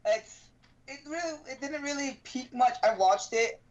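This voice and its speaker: A man's voice: very high voice, normal speed, crowd noise. A man co-hosting a gaming livestream, chatting casually in a blunt, offhand way. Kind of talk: casual chat. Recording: muffled, lo-fi stream audio.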